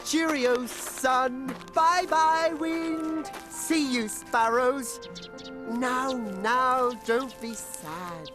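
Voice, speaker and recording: A woman voicing a vain, naive, dreamy young fir tree in an animated fairy tale, gushing and theatrical about itself. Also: high-pitched, drawn-out words. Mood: elated, proud. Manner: gushing, self-absorbed, theatrical. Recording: music behind the voice.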